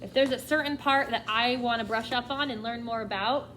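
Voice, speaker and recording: A woman's voice: on the high side, steady tone, brisk, fairly bright tone, a little hiss or hum. A woman teacher reading a nonfiction book aloud to children, expressively, often addressing them directly with questions and asides.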